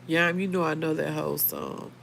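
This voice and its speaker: A middle-aged American woman, a little hoarse, chatting casually and earnestly on a livestream and speaking straight to her listeners. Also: low voice, fairly even intonation, moderate pace, fairly bright tone, slight background noise. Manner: conversational, earnest, addresses listeners directly. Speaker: livestream host. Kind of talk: casual live chat reading viewer comments.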